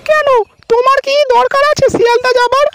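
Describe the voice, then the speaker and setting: A woman's voice: fairly even intonation, brisk, creaky, faint music behind. A woman voicing a nagging but caring village wife in a comedy cartoon. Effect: pitch-shifted chipmunk-like voice.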